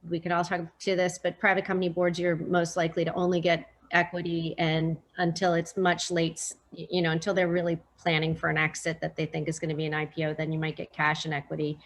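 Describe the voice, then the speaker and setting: A woman panelist speaking in a measured, hedging way. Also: lowish voice, monotone. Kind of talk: panel discussion.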